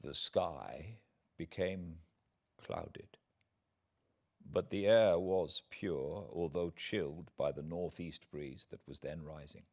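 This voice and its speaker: A British man narrates a classic novel in a low voice. His pace is very slow and deliberate, with theatrical pauses and swells that give the literary prose dramatic weight. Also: a little mellow. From Britain. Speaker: audiobook narrator. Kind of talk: dramatic literary audiobook reading.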